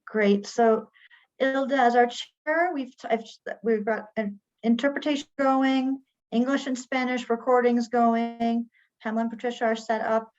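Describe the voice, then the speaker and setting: A woman's voice: fairly high pitch, fairly even intonation, measured pace, a little mellow. A woman facilitating an online meeting, casually handling the logistics before it gets started. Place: remote video call. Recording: slightly dull call audio.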